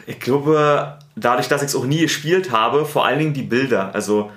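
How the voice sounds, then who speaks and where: A man with a Berlin accent, a sports TV presenter and editor, answering interview questions in a relaxed, chatty way with many fillers. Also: mid-range voice, moderate pace, roomy sound. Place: studio.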